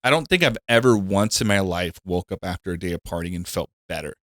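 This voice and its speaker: A man's voice: low voice, expressive, fast talker, fairly bright tone, no room sound, studio quality. A man in his thirties hosting a casual comedy podcast, joking loosely and swearing now and then. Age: 30s. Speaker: podcast host.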